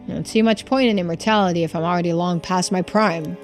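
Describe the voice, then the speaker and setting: Woman voicing a gruff, suspicious old male tycoon. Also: on the low side, quick pace, soft background music, close to an everyday voice. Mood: reflective, gruff. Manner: blunt, folksy old-timer phrasing.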